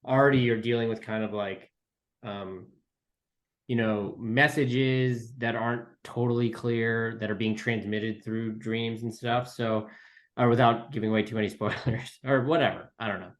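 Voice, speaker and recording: A man's voice: mid-range voice, fairly even intonation, normal speed. A man chatting casually as an interview guest and writer, with loose, tentative phrasing padded with conversational asides. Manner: loose, tentative phrasing full of "like" and "you know". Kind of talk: casual chat.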